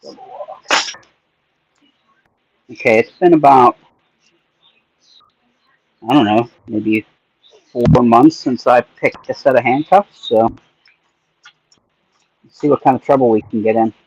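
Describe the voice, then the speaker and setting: A man's voice: mid-range voice, slow pace, pauses often, a little mellow, slightly rough, no room sound, rough recording. Joking man picking locks on a livestream. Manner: joking, chatty, talks to himself while working. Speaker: livestream host. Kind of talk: casual chat while lockpicking.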